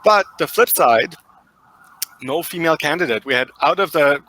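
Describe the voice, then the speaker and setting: A man's voice: a little high, animated, moderate pace, pushed and bright, slight background noise, poor audio. A man with a German accent, giving thoughtful interview answers full of fillers and restarts. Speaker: guest expert.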